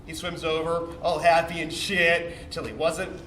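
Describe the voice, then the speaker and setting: A man's voice: a little high, level tone, a little slow, fairly bright tone. A man on stage playing a cocky, bitter young sperm cell, telling his story as a slangy, swearing, defensive bro in a dramatic comic monologue. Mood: grave, tense. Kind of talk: theatrical comic monologue, confessional storytelling to audience. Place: indoor theatre stage, recorded from a distance. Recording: roomy, distant stage recording with faint noise.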